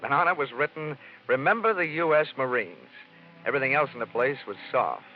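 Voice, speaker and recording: An actor playing a wisecracking hard-boiled American investigator, deadpan and flirtatious, in a 1950s radio detective drama. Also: male voice, mid-range voice, brisk, lightly acted. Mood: wry, reflective. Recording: dull 1950s radio recording, music behind.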